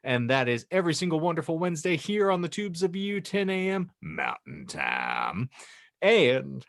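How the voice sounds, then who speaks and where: A man's voice: on the high side, sing-song, normal speed, gravelly, fairly bright tone. A man hosting a comedy podcast with wordy, mock-grandiose banter and crude jokes. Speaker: podcast host.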